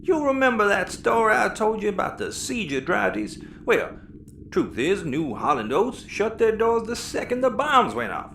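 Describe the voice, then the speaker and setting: An actor playing a slyly menacing, folksy space cannibal with a Southern US accent in an audio comedy. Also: male voice, high voice, sing-song, moderate pace, gravelly, fairly bright tone, full character voice. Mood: grim reminiscing. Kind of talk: sci-fi audio comedy acting. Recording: roomy, noisy ambience.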